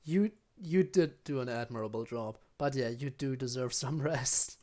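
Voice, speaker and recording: A woman's voice: extremely low pitch, moderate pace, darkish tone, a little hiss or hum. A woman game streamer giving casual live commentary with offhand, playful asides. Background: game music.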